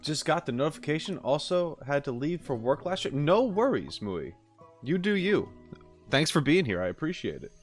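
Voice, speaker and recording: A man's voice: a little high, big pitch swings, moderate pace. A man live streaming, talking casually and thinking aloud in an easygoing, rambling way. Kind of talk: casual livestream chat. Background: background music.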